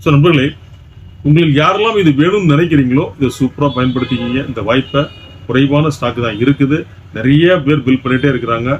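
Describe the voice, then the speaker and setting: Fast-talking Tamil Nadu salesman. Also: medium pitch. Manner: emphatic, repetitive, mixes English words into Tamil. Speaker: shop presenter selling electronics. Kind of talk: product demonstration sales pitch. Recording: dull lo-fi sound with faint background noise.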